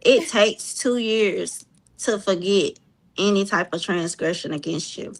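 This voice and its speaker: A woman's voice: mid-range voice, unhurried, poor audio. A woman with a Southern US accent chatting casually and telling personal stories, blunt and emphatic, repeating her points. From Mississippi, USA. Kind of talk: casual chat, personal storytelling.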